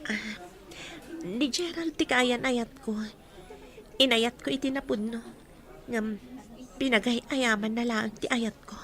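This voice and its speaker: A woman's voice: on the high side, slow, long pauses, a bit bright. An actress playing an impulsive young woman wounded in love, in a radio drama. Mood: apologetic, hurt. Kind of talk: Ilocano radio drama acting.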